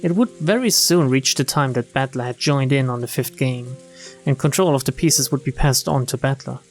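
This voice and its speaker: A man's voice: medium pitch, normal speed. A man streaming a game, reading visual novel narration and dialogue aloud in a dramatic storytelling style. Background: game music.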